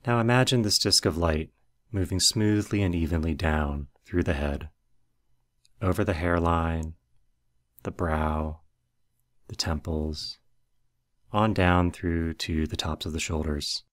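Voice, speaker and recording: Low-pitched man guiding a meditation. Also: fairly even intonation. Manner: measured, instructional. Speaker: meditation guide. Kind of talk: guided meditation, scripted narration.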